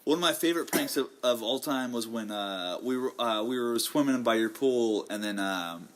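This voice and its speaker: A man's voice: mid-range voice, lively intonation, normal speed. A young man co-hosting a podcast in loose, rambling banter, laughing often and talking through fillers and restarts. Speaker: podcast co-host. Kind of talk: casual banter.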